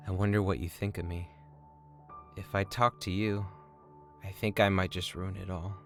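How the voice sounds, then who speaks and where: A man's voice: fairly low pitch, fairly bright tone. A man playing a shy, lovestruck college-aged kitchen worker in an audio story, speaking tenderly and earnestly. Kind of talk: scripted audio story acting.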